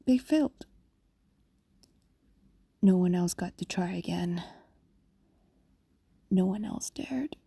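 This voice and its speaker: Woman acting a whispering, very slow-spoken fox spirit. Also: fairly low pitch, mellow, no echo, exaggerated voice. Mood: wistful, gentle. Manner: breathy, soothing, slow coaxing whisper. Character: possessive, doting ancient female fox spirit. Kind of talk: intimate fantasy audio roleplay, ASMR.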